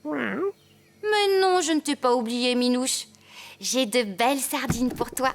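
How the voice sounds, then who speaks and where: A woman's voice: high-pitched, slow. A woman playing a kind, cheerful young farmer in a children's cartoon, with a caring, reassuring manner.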